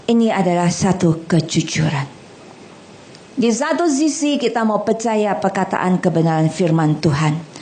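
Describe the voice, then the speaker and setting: A middle-aged woman preaching to a congregation in a hall. She is animated and blunt, jokes in casual slang and keeps asking the audience questions. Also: on the low side, measured pace, a little hiss or hum. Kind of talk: lively sermon.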